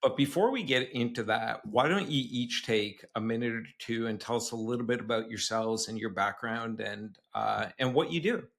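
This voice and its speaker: A man's voice: mid-range voice, normal speed, vocal fry, hardly any pauses, fairly bright tone. Man hosting a podcast. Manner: hesitant, filler-heavy when unscripted. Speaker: podcast host. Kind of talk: scripted intro then casual interview hosting.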